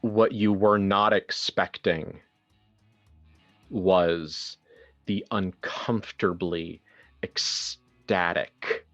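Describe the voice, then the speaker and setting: A man with a very deep voice runs a horror tabletop game as game master. He takes his time, describing things deliberately and haltingly, then slipping into casual asides. The sound is slightly dull, with music underneath. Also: fairly bright tone.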